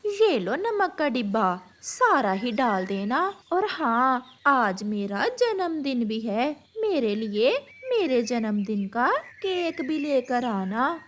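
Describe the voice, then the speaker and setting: A woman's voice: fairly high pitch, big pitch swings, takes it slowly, hardly any pauses, slight background noise. A woman voicing a jealous, scheming female crow in a cartoon, scolding and bossy. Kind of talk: Hindi animated bird fable acting.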